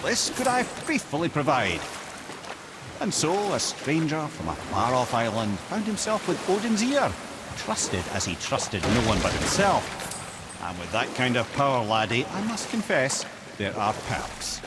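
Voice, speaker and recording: A witty old Scottish counselor from a video game. He tells tales with wry, eloquent flourish and a very lively, swooping pitch, with clear ambient noise behind him. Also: unhurried. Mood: reflective, proud. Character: witty, wry old counselor, a well-travelled talking head. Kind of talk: video game character dialogue.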